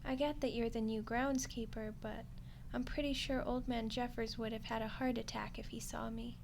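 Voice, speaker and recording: A woman's voice: a little high, flat delivery, normal speed, gravelly, a little mellow, a little hiss or hum. A woman voicing a friendly, awkward undead skeleton girl in an audio roleplay, chatty and hesitant with a wry, defensive streak. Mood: curious, chatty. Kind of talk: audio roleplay monologue to the listener.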